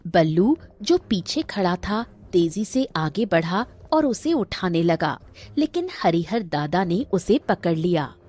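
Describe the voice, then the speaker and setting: Slow husky woman storyteller. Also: on the low side, darkish tone. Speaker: story narrator. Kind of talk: scripted storytelling narration for a cartoon.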